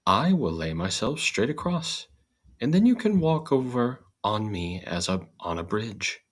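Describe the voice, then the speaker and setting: A man's voice: fairly low pitch, sing-song, a little slow, voice pushed up. A man voicing a talking straw, an eager, plaintive little storybook character with formal, old-fashioned phrasing.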